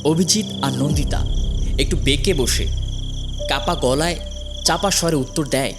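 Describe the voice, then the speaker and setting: A man's voice: on the high side, unhurried, over music. A man narrating a scripted horror story in a dramatic storytelling style.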